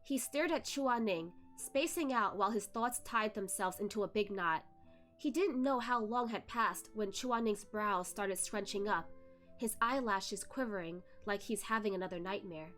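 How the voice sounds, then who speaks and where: A woman reading a novel aloud as expressive narration, occasionally tripping over a word and correcting herself. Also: mid-range voice, normal speed. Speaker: host of a read-along reading a web novel aloud. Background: music behind the voice.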